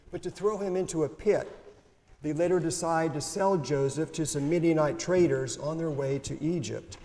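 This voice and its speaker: A man in his fifties giving a deliberate, measured homily from a pulpit microphone in a church sanctuary. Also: fairly high pitch, dark tone. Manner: measured, deliberate preaching read from notes. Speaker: priest. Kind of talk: sermon. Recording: reverberant church, faint room noise.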